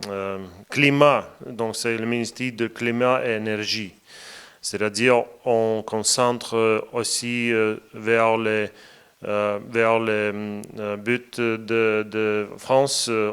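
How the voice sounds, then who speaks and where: A man with a Latvian accent answers in a radio interview, in a voice that is a little low and very clear. He speaks slowly and searches for words, often pausing. He has trouble finding his phrases. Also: a bit bright. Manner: halting, searching for words, many pauses. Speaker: interview guest, parliament president.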